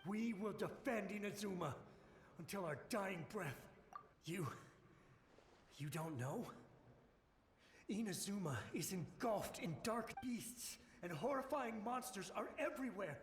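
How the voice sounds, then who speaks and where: Brief: haunted game soldier begging for help, raised voice, long reverb. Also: male voice, high voice, animated, a little slow, pauses often, faint music behind. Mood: frantic. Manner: frantic, pleading, urgent. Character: panicked young male soldier, desperate and haunted. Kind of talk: video game voice acting.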